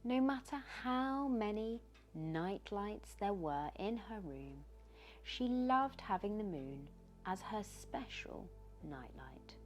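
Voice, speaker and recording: A woman reads a children's bedtime story aloud in an expressive, gentle storytelling manner. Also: mid-range voice, slow pace, faint music behind. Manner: expressive, gentle storytelling with questions posed to the listener. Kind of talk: bedtime story read aloud with short asides.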